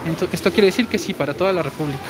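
A man in his forties with a high, girlish-sounding voice, chatting through a live store walkthrough and reading viewer comments. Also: very fast. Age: 40s. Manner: chatty, explains deals while walking. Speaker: shopping deals streamer. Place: large indoor supermarket. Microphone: phone held while walking. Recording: noisy store ambience.

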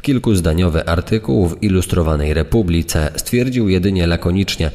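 A man's voice: sits low, normal speed, a little mellow. A man reading a scripted true-crime documentary text as a narrator. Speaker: second narrator. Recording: reverberant hall effect.

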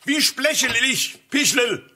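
An actor playing a pompous, blustering town mayor in a children's audio play, theatrical, saying L for every R. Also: male voice, very high voice, animated, takes it slowly, pushed and bright, a little hiss or hum, exaggerated voice. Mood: bossy. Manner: theatrical, cannot pronounce R, saying L instead.

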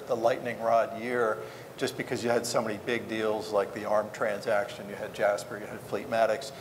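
A middle-aged man giving unscripted commentary as a panelist on a conference stage. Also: fairly low pitch. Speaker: panelist, investment banker. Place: conference stage in a large hall. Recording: reverberant hall, faint background noise.

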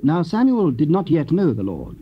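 A man's voice: on the high side, huge pitch swings, moderate pace. A man narrating scripture in a solemn, scripted style. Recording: dull, faintly noisy old cassette.

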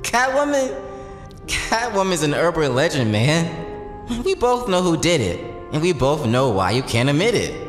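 An actor playing a bold, idealistic young vigilante in an audio drama, casual, teasing and earnest. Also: male voice, on the high side, wildly swooping pitch, measured pace. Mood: taunting. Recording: echoey reverberant hall, music underneath.

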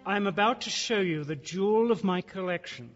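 An actor playing a genial, sly gentleman who keeps exotic beasts, effusive and genteel in a theatrical radio drama style. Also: high-pitched, a little fast, faint music behind, natural voice. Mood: proud, relishing. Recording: dull, noisy old radio-drama recording.

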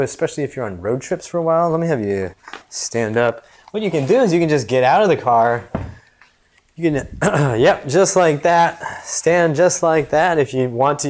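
A man's voice: medium pitch, darkish tone. An American man in his thirties, a movement coach giving a casual, jokey exercise demonstration in a small gym. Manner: jokey, informal explanatory. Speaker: movement coach and video host.

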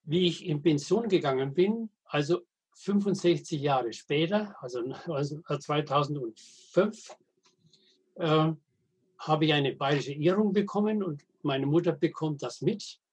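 A man, telling anecdotes as an interview guest with halting, hesitant phrasing and dry humor. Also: on the high side, lively intonation, a little mellow, slightly rough. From Middle Franconia, Germany. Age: 80s. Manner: halting, frequent hesitations and restarts. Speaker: guest, veteran wastewater engineer and editor. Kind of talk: podcast interview, storytelling.

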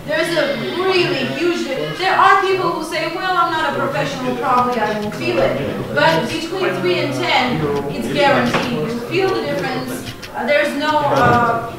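High-voiced woman leading a tasting. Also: moderate pace. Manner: polished, explanatory, hesitant fillers. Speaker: brandy tasting guide. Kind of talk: guided tasting talk. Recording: echoey hall, far mic, crowd.

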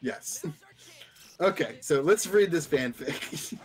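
A man's voice: fairly high pitch, expressive, slow pace, faint music behind. A man streaming a casual, stumbling read-aloud of fan fiction, breaking off to comment. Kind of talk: casual fanfic reading with commentary.

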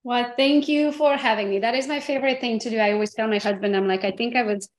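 A woman in her forties with a Romanian accent, a guest telling her story on a video call in a lively way. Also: a little high, fast talker, roomy sound. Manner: lively storyteller, laughs often. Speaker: guest, real estate agent. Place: home office with pink neon.

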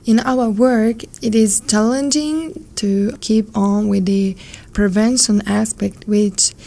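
A woman with a northern Italian accent, a volunteer psychologist answering interview questions in a measured, earnest way, Italian being her first language. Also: medium pitch, fairly even intonation, vocal fry, warm tone. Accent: Italian, from around Turin. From northern Italy. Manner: measured, earnest, careful non-native phrasing.

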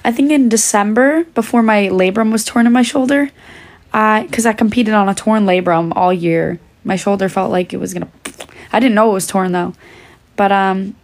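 Creaky-voiced young woman losing her voice. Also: mid-range voice, normal speed. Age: young adult. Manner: chatty, reading out and answering fan questions. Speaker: livestreamer answering comments. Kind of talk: casual livestream chat. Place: indoors, small room. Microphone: phone held at arm's length.

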